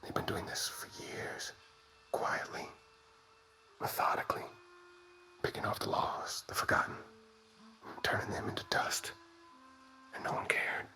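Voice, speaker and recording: A man playing an obsessed, grim investigator in a whispered ASMR dramatic monologue, speaking deliberately and with menace. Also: bright, forceful tone. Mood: grim resolve.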